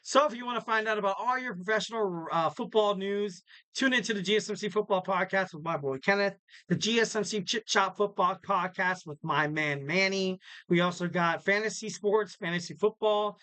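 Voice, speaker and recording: A man's voice: high-pitched, fairly quick, pushed and bright, clean tone, no echo. A young man hosting a casual solo podcast, rambling and joking with lots of fillers and restarts. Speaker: podcast host.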